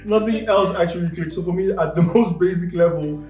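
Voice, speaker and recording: A man's voice: sits high, a little fast, a little mellow. Joking young man in an echoey room. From Cameroon. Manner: joking, playful. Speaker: channel host. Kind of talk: casual vlog chat. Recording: echoey room with music behind.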